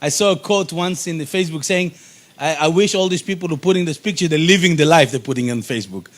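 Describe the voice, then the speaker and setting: Middle-aged Israeli-born speaker, high voice, punchy and repetitive, workshop presenter. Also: male voice, fast talker. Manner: animated, emphatic, repeats key phrases. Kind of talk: motivational workshop talk.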